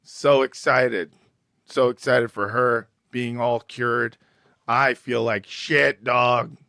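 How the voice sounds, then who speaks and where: Hoarse slow-talking podcast host. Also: male voice, steady tone, bright, forceful tone, no echo, studio quality. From Los Angeles. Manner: joking, deliberately low and slow. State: hoarse, recovering lost voice. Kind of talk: casual comedic chat.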